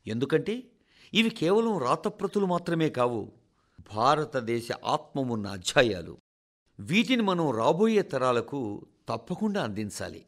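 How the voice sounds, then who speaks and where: A man reads a formal scripted radio address as a presenter, in a measured, rhetorical way that speaks directly to listeners. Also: fairly high pitch, sing-song. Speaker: radio presenter reading a translated national address.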